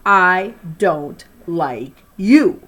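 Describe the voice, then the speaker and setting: A woman's voice: fairly low pitch, animated, drawn-out words, a bit bright, exaggerated voice. A woman reader voicing an indignant young schoolgirl in a storybook, blunt and emphatic. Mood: angry.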